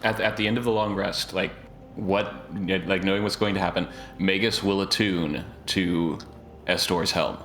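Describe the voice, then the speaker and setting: A man's voice: low-pitched, fairly even intonation, normal speed, fairly bright tone. A young man playing a tabletop game, talking hesitantly. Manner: hesitant, laughing. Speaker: player. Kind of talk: casual game chat. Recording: echoey room with crowd noise.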